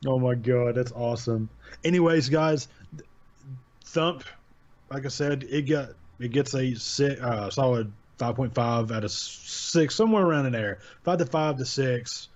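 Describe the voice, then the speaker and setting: A man's voice: mid-range voice, unhurried, muffled recording. A man hosting a casual chat about horror stories, rambling, repeating his words and laughing often. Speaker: channel host.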